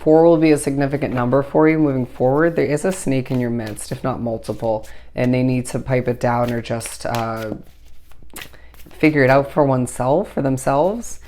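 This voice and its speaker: A tarot reader with a hoarse voice that is still recovering, giving a meandering, intuitive solo reading and speaking straight to the listener. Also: medium pitch, moderate pace, a little mellow, slight background noise. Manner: meandering, intuitive delivery full of "I do feel as if"; reassuring, addresses the listener directly.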